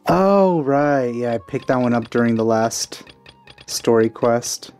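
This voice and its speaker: A man's voice: mid-range voice, lively intonation, takes it slowly, a little mellow. A man giving casual commentary while playing a game, muttering hesitant asides. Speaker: gamer recording a playthrough. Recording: game music faintly behind.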